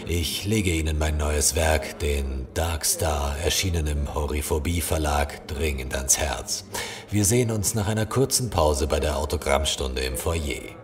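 An actor playing a horror author in an audio drama, speaking in a measured, solemn, formal way. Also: male voice, rumbling low, music in the background. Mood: formal, polite. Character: horror author, solemn, polite but curt with fans. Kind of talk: dramatic literary reading and radio play dialogue.

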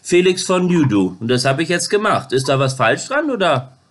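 A man in his thirties rambling through an informal phone interview, halting and repeating his words, recorded indoors at home. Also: on the high side, sing-song, a bit bright. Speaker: YouTuber making a phone call on camera.

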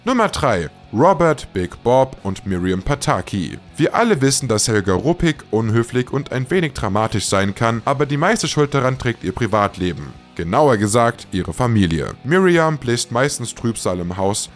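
A man's voice: mid-range voice, sing-song, moderate pace, hardly any pauses, faint music behind. A man reading a scripted voice-over as the narrator of a countdown video.

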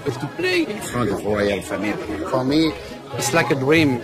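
A man in his sixties from Paris with a French accent, speaking halting, simple English as a talent-show performer. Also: mid-range voice, wildly swooping pitch, a loud crowd. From Paris, France. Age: 60s. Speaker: ventriloquist talent-show contestant. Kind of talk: stage act patter and personal interview. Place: large theatre stage and busy backstage hall. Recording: loud music and audience noise behind.

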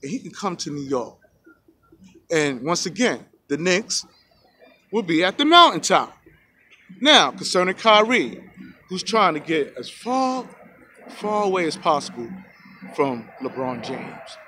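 A man in his forties vlogging outdoors into a phone held at arm's length, giving excited, preachy sports opinions and calling out "y'all" to his viewers. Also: on the high side, a little slow, pauses often, slight background noise. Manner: hyped, preachy, keeps saying "y'all". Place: outdoors by a rocky hill in a park. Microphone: phone selfie camera at arm's length.

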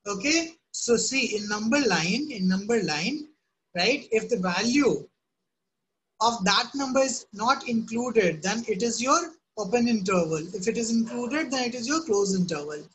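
A woman's voice: a little high, animated, a little slow, a little hiss or hum, low-quality recording. A woman teaching a live online math class, often asking "right?" and "okay?" to check on students. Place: home room.

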